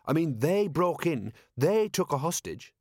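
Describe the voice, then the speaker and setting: A man's voice: on the high side, big pitch swings, unhurried, a little mellow, dry recording, clean studio sound, pitched above the performer's own voice, heavily acted. A man voicing a sour, aggrieved zombie police constable in an audiobook, grumbling and complaining as he talks. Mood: matter-of-fact. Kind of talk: audiobook character voice.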